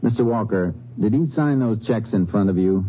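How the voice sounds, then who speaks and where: An actor playing a calm, matter-of-fact Texas Ranger in a 1950s radio drama, questioning people in clipped, deadpan phrases. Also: male voice, fairly low pitch, normal speed, a little hiss or hum, lightly acted. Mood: measured, probing. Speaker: lead actor and narrator. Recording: muffled vintage radio recording with music.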